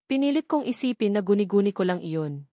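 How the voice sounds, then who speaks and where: A woman's voice: medium pitch, expressive, brisk, mellow, dry recording, clean studio sound. A woman with a Filipino accent narrates a scripted first-person romance story in an emotive way, setting short phrases apart for drama.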